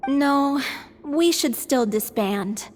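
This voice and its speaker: High-voiced frail young woman. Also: expressive, very slow, a little mellow, long reverb tail, a little hiss or hum, heavily acted. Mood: weary. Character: frail, earnest young woman performer, stubborn and resigned. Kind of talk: scripted video game dialogue.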